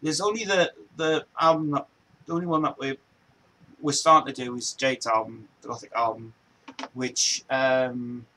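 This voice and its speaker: A man's voice: medium pitch, fairly even intonation, moderate pace, pushed and bright, a little hiss or hum, rough recording. An Englishman in his forties hosting a casual chat show from home, talking in a loose, meandering way that circles back and repeats itself. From England. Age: 40s. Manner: loose, meandering, repeats himself and restarts often. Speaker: show host.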